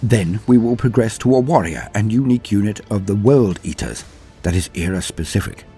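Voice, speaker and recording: A man's voice: lowish voice, lively intonation, fairly quick, mellow. A man hosting and narrating lore in a theatrical, florid manner, with scripted delivery and chatty asides. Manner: theatrical, florid wording, self-deprecating. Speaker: lore channel host and narrator. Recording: music under the voice. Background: background music.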